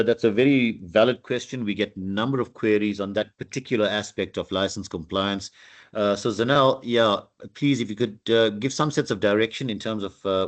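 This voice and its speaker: A man with a lowish voice runs an online meeting. He politely and steadily relays questions from the chat and sums up the answers, speaking into a video call mic. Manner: measured, polite, summarizing questions. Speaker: meeting moderator.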